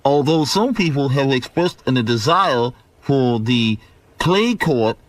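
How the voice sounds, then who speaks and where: A man's voice: mid-range voice, animated, slow, dry recording. A man voicing an officious, fussy small-town board chairman with mock-formal deliberation in a radio comedy bit.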